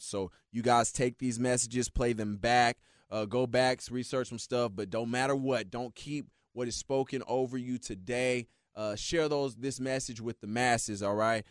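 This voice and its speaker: A man's voice: mid-range voice, smooth, no room sound, clean studio sound. Hyped-up man preaching self-motivation. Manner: preachy, hyped, repeats "yeah, yeah, yeah" and "yo". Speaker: motivational radio host. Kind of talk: motivational talk show monologue.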